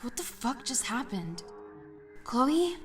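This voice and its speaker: A woman's voice: high voice, big pitch swings, slow, exaggerated voice. A young woman voicing a shy, awkward time traveler in a self-conscious, wry inner monologue. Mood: flustered wry. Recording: loud music underneath.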